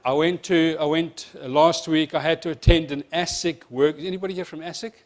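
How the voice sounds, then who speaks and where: A man's voice: fairly high pitch, fairly even intonation. South African man with slight speech impediment. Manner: fast-talking presenter, jokey asides. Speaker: insurance product presenter. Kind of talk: seminar presentation to advisers. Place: seminar room with live audience.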